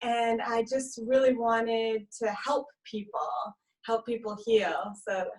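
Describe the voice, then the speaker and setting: A woman's voice: a little high, steady tone, slow pace, over a noisy crowd. A woman from Romania who grew up in South Florida, chatting as an interview guest over a video call, giggly between phrases. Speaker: interview guest, sound healer. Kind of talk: casual conversational interview. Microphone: video call microphone, slightly distant. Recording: slightly distant video-call mic.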